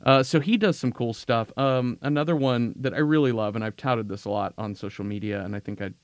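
A man's voice: medium pitch, a little fast, dry recording. A man talking as a podcast guest in casual chat, rambling with many restarts and frequent laughs.

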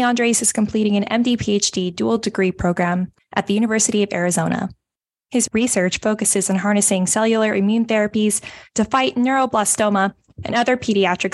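A woman's voice: medium pitch, dry recording. A woman co-host with a middle-range voice chats playfully and laughs often. Her voice is recorded dry and close, with no room sound. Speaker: podcast co-host. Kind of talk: casual chat and guest introduction.

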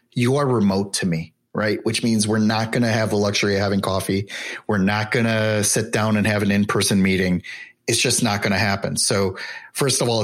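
A man talking in a relaxed way as an interview guest about running a remote team, with lots of "you know" and "right?". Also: on the low side. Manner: conversational, with many fillers. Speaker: remote business owner, guest. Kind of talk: casual interview chat.

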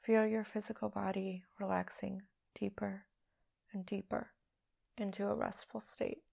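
A woman leading a guided meditation as a podcast host, speaking in a hushed, soothing, unhurried way with long pauses. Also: medium pitch, level tone, gravelly, breathy edge.

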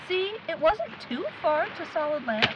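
A woman plays a determined young searcher in an audio drama, blunt and insistent. Her high voice swings widely in pitch, over loud noise in a slightly dull recording. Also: sing-song, normal speed. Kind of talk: audio drama acting, conversational. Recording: muffled, with loud background noise.

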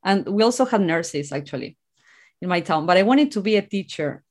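A woman's voice: medium pitch, expressive, brisk, darkish tone. A middle-aged woman from Argentina, a native Spanish speaker with an Argentine accent, telling her own story conversationally as a podcast guest.

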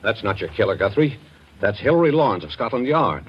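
A low-voiced, shrewd radio investigator. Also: male voice, big pitch swings. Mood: grave, deliberate. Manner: measured, wry, directing others. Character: shrewd, take-charge male investigator, folksy and wry. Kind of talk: 1940s radio thriller acting. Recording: muffled, noisy 1940s radio recording.

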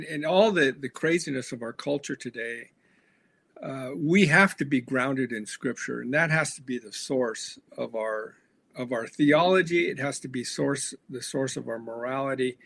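Gravelly earnest pastor, a man. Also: on the high side, a bit bright, dry recording. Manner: earnest, reflective, with frequent fillers. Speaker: pastor and author, guest. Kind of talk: conversational interview answers.

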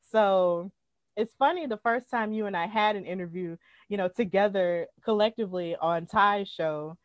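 A woman's voice: medium pitch, moderate pace, dry recording. A woman in her twenties from Alabama, a podcast guest chatting casually, upbeat and laughing often as she tells stories. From Alabama, United States.

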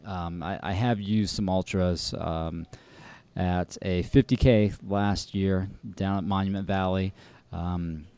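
A man's voice: sits low, fairly even intonation, slow pace, darkish tone, smooth, dry recording. A man co-hosting a podcast, talking casually and frankly about trail running shoes, laughing easily. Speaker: podcast co-host.

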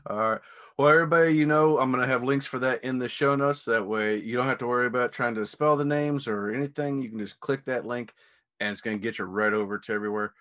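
A man's voice: medium pitch, quick pace, fairly bright tone. A man hosting a casual podcast interview in an enthusiastic, affirming manner. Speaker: podcast host.

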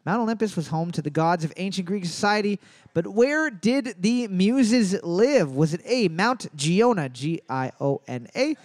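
A man with a high, very lively voice hosts a trivia night and jokes between questions. He reads the choices aloud and spells them out slowly. The sound is close and dry, with no room echo. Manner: animated, spells out answers slowly. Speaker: trivia host. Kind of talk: live bar trivia hosting with jokes.

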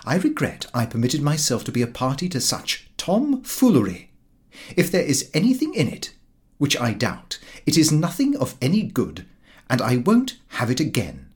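A man's voice: mid-range voice, wildly swooping pitch, moderate pace, darkish tone. A man voicing a pompous, indignant master of the house in an audiobook, stern and self-important. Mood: firm.

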